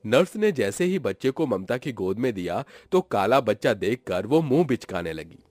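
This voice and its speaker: A man's voice: medium pitch, very animated, normal speed, a little mellow, no room sound. A man narrating a scripted story in a dramatic storytelling style. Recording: music behind the voice.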